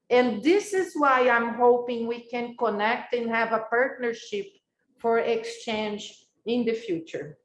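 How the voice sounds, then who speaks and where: A woman's voice: a little high, reverberant, slight background noise. A Brazilian woman whose first language is Portuguese, giving a university lecture in a reflective way with many filler sounds and restarts. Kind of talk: recorded academic lecture.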